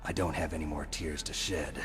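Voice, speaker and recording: A man voicing a gruff, world-weary special forces soldier in a video game, terse and hard-boiled with a gravelly voice. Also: extremely low pitch, fairly even intonation, brisk. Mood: stoic, somber. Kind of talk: dramatic video game voice acting. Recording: loud music behind the voice.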